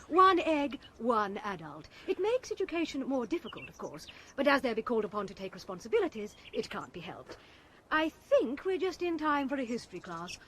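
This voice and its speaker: An actress playing a genteel, briskly proper headmistress in a radio drama, poised as a tour guide. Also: female voice, a little high, a bit bright. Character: genteel, brisk headmistress showing a visitor around. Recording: light noise behind.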